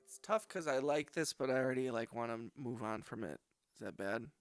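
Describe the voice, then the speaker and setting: A man's voice: mid-range voice, fairly quick. A man hosting a late-night music production livestream, chatting casually and rambling as he thinks aloud and second-guesses his choices. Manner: rambling, thinks aloud, often questions himself; relaxed, friendly shout-outs to the chat. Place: home studio.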